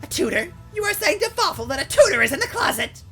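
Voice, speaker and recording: A man's voice: extremely high pitch, sing-song, quick pace, sharp tone, soft background music, voice pushed up, full character voice. A man voicing a small, pompous male bean villain who speaks in grandiose, broken phrases. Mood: indignant.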